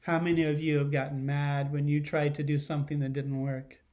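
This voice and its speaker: A middle-aged man, a teacher, reading a children's picture book aloud expressively, pausing to put questions to his listeners. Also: on the high side, flat delivery, a little mellow. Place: living room at home.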